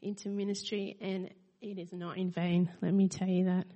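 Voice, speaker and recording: A woman's voice: lowish voice, level tone, moderate pace, dark tone. A woman giving an earnest, emphatic tithe message to a church congregation. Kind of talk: sermon-style encouragement. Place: church hall. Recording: long hall reverb.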